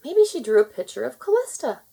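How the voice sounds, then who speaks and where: A kind young mermaid princess from a children's storybook, voiced by a woman reader. She speaks earnestly and expressively, with pitch that swings up and down and a lightly husky edge. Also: very animated, brisk, warm tone, exaggerated voice. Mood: hopeful.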